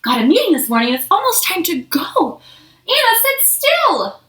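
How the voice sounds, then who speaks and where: A woman's voice: extremely high pitch, huge pitch swings, sharp tone, far from the mic, pitched above the performer's own voice, exaggerated voice. A woman voicing a busy, bossy mother scolding her young children in a storybook. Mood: hurried. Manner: brisk, bossy. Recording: echoey room.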